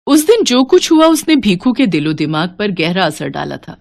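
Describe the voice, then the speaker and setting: A woman narrating a scripted children's story in an expressive storytelling style. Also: medium pitch, normal speed, creaky, no echo.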